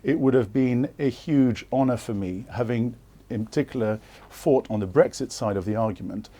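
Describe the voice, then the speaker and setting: Male interviewee, warm mellow timbre, earnest and insistent, hesitant delivery. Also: medium pitch, normal speed. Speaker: interviewee, former political candidate. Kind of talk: live political TV interview.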